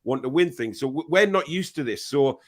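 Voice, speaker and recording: Easygoing man, halting chat. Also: medium pitch, lively intonation. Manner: hesitant, many fillers and restarts. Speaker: podcast host. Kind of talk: casual live-stream chat.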